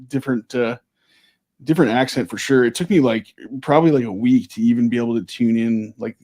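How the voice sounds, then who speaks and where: Male livestream guest, mid pitch, easygoing, meandering delivery with lots of fillers. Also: brisk. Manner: relaxed, rambling, with many fillers. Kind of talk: casual livestream chat.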